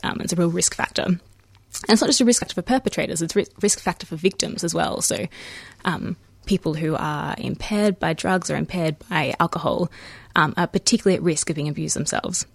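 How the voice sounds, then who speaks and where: Australian woman, 30s, low voice, measured radio guest, dry studio sound. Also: vocal fry, husky, no room sound. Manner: measured, explanatory, frequent ums. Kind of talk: radio interview.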